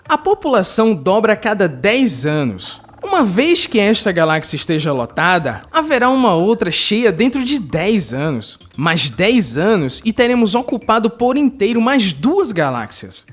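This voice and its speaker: Actor as animated, concerned Brazilian space official. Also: male voice, sits high, full character voice. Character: thoughtful, concerned male far-future space official, two centuries old. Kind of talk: science fiction audio drama acting.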